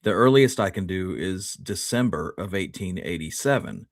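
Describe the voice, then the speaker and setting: Male history co-host, deep voice, informal researcher talk with read-out archive items, dry sound. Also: expressive, moderate pace, no room sound. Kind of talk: casual discussion, reading out old newspaper items.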